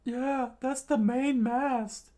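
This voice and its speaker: A man puts on a very high, squealing young crewmate from an adventure story. The voice is excitable and scolding, pushed far up into falsetto with exclamatory protest. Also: drawn-out words, heavily acted. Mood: outraged. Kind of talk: acted character voices in a story retelling.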